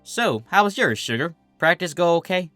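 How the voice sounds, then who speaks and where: A man's voice: high-pitched, very animated, normal speed, pushed and bright, no echo, soft background music, voice pushed up, heavily acted. A man voicing a brash, defensive, teasing young female athlete pony with casual, slangy, huffy delivery. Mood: casual griping.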